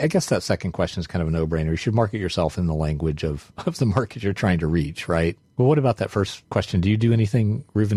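A man with a very deep, husky voice chats on a podcast in a reflective, thinking-aloud way. His sound is dry and close, with no room around it. He leans on small fillers as he works through a point. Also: mellow, dry recording. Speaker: podcast co-host, consultant. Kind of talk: casual conversational chat.

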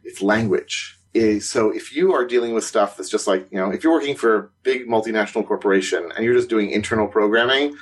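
A man co-hosting a podcast in casual conversation, animated and quick to laugh, his voice climbing when something surprises him. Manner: animated, rising pitch on surprising points, laughs while talking. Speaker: podcast co-host, consultant.

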